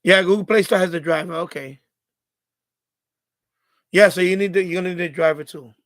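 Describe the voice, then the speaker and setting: A man's voice: sits high, fairly even intonation, fairly quick, fairly bright tone. A man hosting a live stream in casual, rambling, unfiltered chat with his viewers. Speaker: livestream host.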